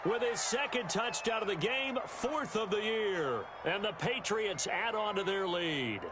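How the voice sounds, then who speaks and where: High-voiced American football play-by-play announcer. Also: male voice, animated, a little slow. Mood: excited. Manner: polished announcer delivery. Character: male TV football play-by-play announcer, keen and polished. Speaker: play-by-play sports commentator. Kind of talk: American football game commentary. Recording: very noisy gameplay capture.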